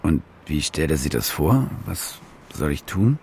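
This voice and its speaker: Brooding, low, creaky-voiced trapped reporter. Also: male voice, sing-song, a little slow, darkish tone, slight background noise, exaggerated voice. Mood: wary. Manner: muttering, brooding, talking to himself. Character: cynical, desperate, self-loathing German war reporter, trapped. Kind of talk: radio drama acting, interior monologue.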